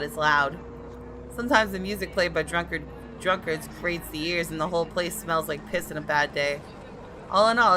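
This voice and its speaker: A woman with a bright, forceful voice pitched a little low hosts a game playthrough. She reads and comments expressively and dramatically, with soft game music in the background. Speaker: gaming video host. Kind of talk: playthrough commentary and reading game narration aloud.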